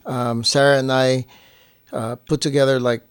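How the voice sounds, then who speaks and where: Man, mid-range voice, casual explainer, halting delivery, very dry recording. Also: medium pitch, flat delivery, clear, resonant tone, dry recording. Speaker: co-host and filmmaker.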